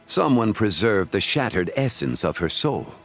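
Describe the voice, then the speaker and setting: An actor playing a calm, learned ancient man in a video game, speaking formally and deliberately. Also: fairly low pitch, faint music behind. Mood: grave, composed. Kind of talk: scripted video game dialogue.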